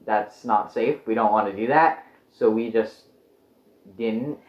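A woman's voice: very deep voice, a little slow. A teenage girl co-hosting a podcast in casual family chat. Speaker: podcast co-host. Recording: roomy echo, slightly dull lo-fi sound.

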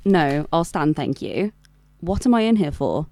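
A woman's voice: low voice, lively intonation, measured pace, mellow, slight background noise. A young woman with a British accent playing a discouraged, defensive nineteen-year-old shop girl in an audio drama. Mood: wary, defensive.

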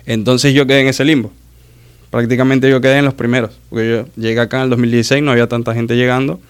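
Smooth-voiced man telling stories. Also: medium pitch, little pitch movement. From Maracaibo, Venezuela. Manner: chatty storyteller, quick self-corrections. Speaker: guest, muralist. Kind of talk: casual interview chat.

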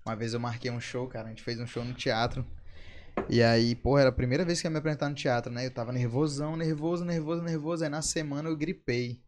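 A man's voice: medium pitch, fairly even intonation, quick pace, darkish tone, a little hiss or hum. A man interviewing the singers, talking in a laid-back, slangy way and telling his own stories. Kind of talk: casual interview chat.

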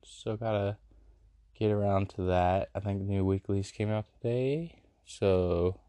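A man's voice: fairly low pitch, a little slow, dark tone, clear, resonant tone, no echo. A young man hosting his podcast, a bit congested from a cold, rambling casually with lots of fillers. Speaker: podcast host. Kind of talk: casual late-night podcast chat. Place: a house with dogs.

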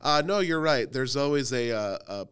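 A man's voice: mid-range voice, animated, moderate pace, a bit bright, clean tone. A man in his thirties from Cleveland, a youth pastor talking casually on a podcast with lots of fillers and self-deprecating humor. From Cleveland, Ohio.

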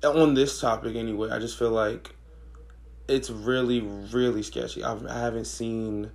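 A hoarse young man with a raspy voice, co-hosting a podcast in slangy casual chat. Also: mid-range voice, measured pace. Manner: relaxed, slangy, thinks aloud with fillers. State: hoarse, losing his voice. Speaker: podcast co-host.

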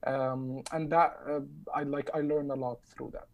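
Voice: mid-range voice, little pitch movement, moderate pace, a little mellow, rough recording. A speaker from Egypt with an Egyptian Arabic accent and Arabic as a first language, talking as a roundtable guest, hesitant with many fillers and a dry, sarcastic humor. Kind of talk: casual discussion.

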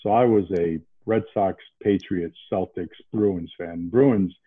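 A man from around Boston, a podcast guest, talking in a casual animated way with many restarts. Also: low voice, slow, slightly rough, no echo. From New England, outside Boston. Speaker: podcast guest, investment banker. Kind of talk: casual interview talk. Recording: tinny phone-like line.

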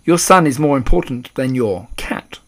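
Man voicing a calm, frosty old grandmother. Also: mid-range voice, normal speed, close to an everyday voice. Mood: matter-of-fact. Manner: calm, matter-of-fact, unflustered.

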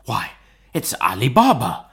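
A man voicing an emotional, excitable old miser in a dramatic storybook reading. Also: sits low, normal speed. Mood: ecstatic. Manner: breathless exclaiming, emotional. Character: excitable, sentimental old miser reliving his childhood.

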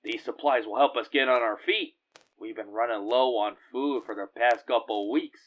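A man's voice: medium pitch, normal speed, highs cut off, close to an everyday voice. A man playing a humble, grateful refugee settler in a space audio drama, earnest and given to awed exclamations.

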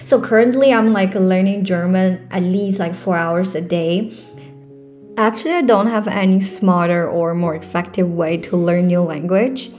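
A woman's voice: fairly low pitch, steady tone, normal speed, darkish tone, faint music behind. A young woman from China with a Chinese accent hosts her own podcast in a casual, earnest way, full of fillers and restarts. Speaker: podcast host. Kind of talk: casual solo podcast talk.

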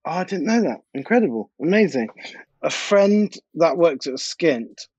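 A man's voice: fairly high pitch, sing-song, moderate pace, darkish tone, breathy edge, no room sound, muffled recording. A man speaking as an interview guest in a casual, informal, slangy way. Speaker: DJ and music producer, interview guest.

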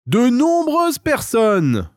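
A man's voice: very high voice, wildly swooping pitch, drawn-out words, a little mellow, no echo, studio quality, voice pushed up, full character voice. A man voicing a proud, clever mother hen, formal and theatrical, in a children's tale. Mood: furious.

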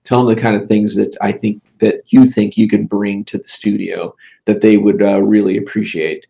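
A man in his fifties, a game studio head and guest, giving relaxed interview answers with many "uh" and "um" pauses. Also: lowish voice, creaky. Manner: halting, full of "uh" and "um" fillers.

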